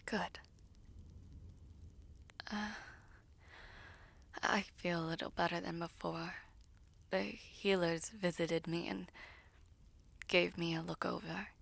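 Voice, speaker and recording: A woman playing a guilt-ridden, weary young villainess sorceress in an intimate audio roleplay, speaking haltingly with breathy, half-whispered softness. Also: on the low side, little pitch movement, exaggerated voice. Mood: weary, hesitant.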